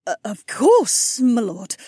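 A woman's voice: a little high, big pitch swings, slow pace, dark tone, husky, dry recording, studio quality, heavily acted. A woman playing a nervous, deferential female servant in an audiobook, speaking hesitantly. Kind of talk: acted audiobook dialogue.

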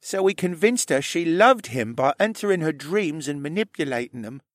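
A man voicing an anxious, tearful young stable boy in an audiobook, with a rough, halting, stammering and pleading delivery. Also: a little high, big pitch swings, no echo, studio quality, voice pushed up. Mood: hesitant, confiding. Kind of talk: audiobook character acting.

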